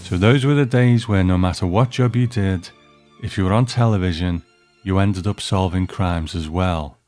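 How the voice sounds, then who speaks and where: A man's voice: sits low, moderate pace, music in the background. A man hosting a review, narrating from a script. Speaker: host.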